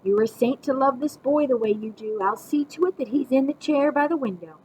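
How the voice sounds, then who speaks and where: A woman reading a storybook puts on a bossy old grandmother, pitched up high and theatrically sweet. She teases and orders a child about, with clear noise behind the voice. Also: brisk, a little mellow, close to an everyday voice, poor audio. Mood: falsely sweet. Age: older. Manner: commanding, snapping, falsely sweet. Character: domineering, teasing grandmother tricking a small boy. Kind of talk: storybook read-aloud.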